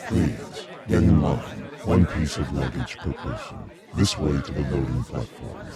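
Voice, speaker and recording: An actor playing a polite, coldly insistent alien usher in a radio drama, speaking with formal, measured courtesy. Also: male voice, extremely low pitch, over a noisy crowd. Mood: courteous, firm. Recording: music behind the voice.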